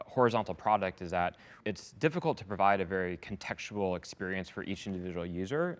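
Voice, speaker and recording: A man with a very low voice speaks at a rapid clip. He answers like a software executive in a business interview, with measured corporate phrasing and an upbeat take on the work. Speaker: head of sales, success and support at a software company, interviewed as a customer.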